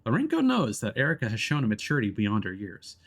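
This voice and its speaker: Read as a male streamer narrating a story game aloud, expressive and theatrical, slipping into casual asides between passages. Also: mid-range voice, fast talker. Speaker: streamer playing a visual novel.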